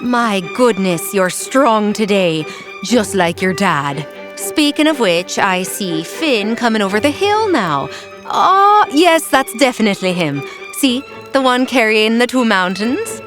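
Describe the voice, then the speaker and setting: A woman acting a clever giant's wife from a storybook tale. Her voice swings with lively inflection as she coos sweetly and teases with a straight face. Music plays under her. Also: mid-range voice, measured pace. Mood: slyly bluffing. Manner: sweetly playful, deadpan teasing. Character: giant's clever wife, doting fake mother, dryly teasing. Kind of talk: storybook audio drama.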